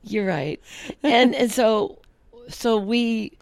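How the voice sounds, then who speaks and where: Woman chatting casually, kinda rambly and playful, creaky voice, no room echo. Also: on the high side, drawn-out words, a little mellow. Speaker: podcast co-host. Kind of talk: casual comedic chat.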